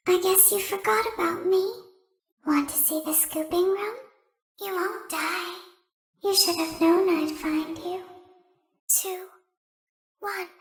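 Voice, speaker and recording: An actor playing a menacing animatronic stalker in a horror game, taunting and singsong, with giggles. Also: male voice, extremely high pitch, steady tone, takes it slowly, pauses often. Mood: sinister. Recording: echoey distant reverb.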